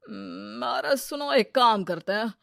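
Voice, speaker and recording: A woman's voice: fairly high pitch, a little slow, vocal fry, fairly bright tone, no room sound, studio quality, full character voice. A woman voicing a greedy, scheming male village moneylender in a cartoon story, pushy and exclamatory. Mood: gloating, scheming.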